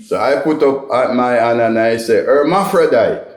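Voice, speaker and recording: A middle-aged Jamaican man, a comedian, telling stories in a relaxed, rambling interview, often tagging his lines with "right?" and "you know". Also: mid-range voice, normal speed, roomy sound. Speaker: comedian guest.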